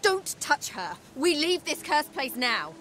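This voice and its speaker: An actress playing a fierce, distraught young adult daughter in a video game, intense and insistent. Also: female voice, high-pitched, sing-song, slow pace, fairly bright tone, a little hiss or hum, exaggerated voice. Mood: furious. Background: game music.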